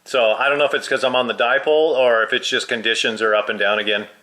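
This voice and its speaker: Man, radio hobbyist, casual chat, bright forward voice, radio lingo. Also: medium pitch, fairly even intonation, fast talker. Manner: uses radio jargon. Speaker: amateur CB radio operator.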